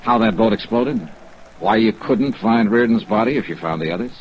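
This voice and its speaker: Man acting gravelly world-weary detective type, terse n dry, old radio drama, muffled with loud rain hiss. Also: lowish voice, normal speed, lightly acted. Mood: firm, probing. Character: dogged, world-weary male insurance investigator. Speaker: lead actor and narrator.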